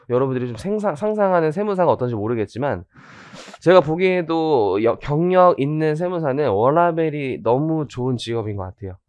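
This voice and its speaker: A man with a slightly high, lively voice that swings up and down as he talks. He is hoarse and lectures casually, with fillers and jokes. The sound is dry and close. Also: no room sound. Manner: chatty, joking asides, many fillers. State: hoarse from rhinitis. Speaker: tax law lecturer.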